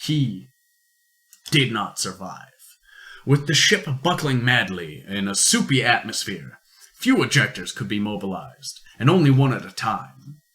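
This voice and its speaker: Bright-voiced man narrating science fiction. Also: on the low side, sing-song, measured pace. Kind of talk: scripted narration of sci-fi radio play.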